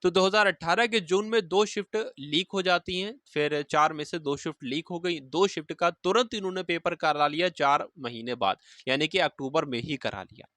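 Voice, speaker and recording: A man's voice: fairly high pitch, normal speed, few pauses, pushed and bright, no echo, studio quality. A man, explaining exam news to students informally and emphatically, repeating key phrases. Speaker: exam-preparation video host.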